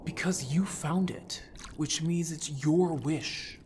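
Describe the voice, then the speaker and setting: A man's voice: on the high side, slow, darkish tone, a little hiss or hum. Man voicing a youthful, casual merman, watery backdrop. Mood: withdrawn, evasive. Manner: casual, informal, explanatory. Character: youthful, eager, evasive male merfolk. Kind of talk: audio drama roleplay.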